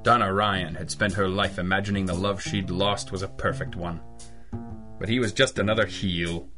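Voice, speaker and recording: An actor playing a stern, cynical private detective in an old-style radio drama, with clipped, wry, accusing delivery. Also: male voice, low voice, steady tone, moderate pace, music in the background, close to an everyday voice. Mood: rueful narration. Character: hard-boiled, cynical adult male private detective, stern and relentless.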